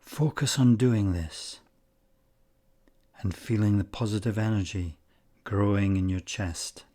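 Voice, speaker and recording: A man leading a guided meditation in calm, measured short phrases with long pauses. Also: lowish voice.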